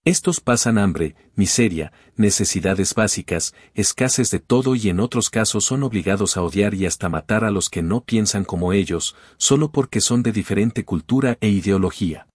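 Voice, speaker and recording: Earnest Cuban man with a husky voice. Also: fairly low pitch, moderate pace, hardly any pauses, dry recording, studio quality. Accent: Cuban Spanish. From Cuba. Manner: earnest, steady reading with long listing phrases. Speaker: audiobook narrator.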